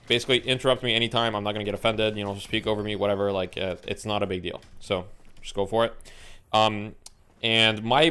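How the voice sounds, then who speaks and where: A young man streaming live, chatting casually with his viewers and coaching a team over voice chat, in a relaxed, talkative way. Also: fairly low pitch. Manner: relaxed, talkative, laughs between lines. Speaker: streamer coaching tournament teams.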